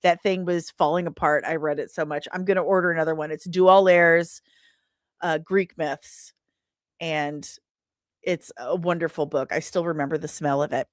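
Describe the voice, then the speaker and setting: Animated woman chatting on a livestream. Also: sits low, normal speed, no room sound, studio quality. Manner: animated, rambling, self-deprecating. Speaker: livestream host. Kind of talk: casual livestream chat.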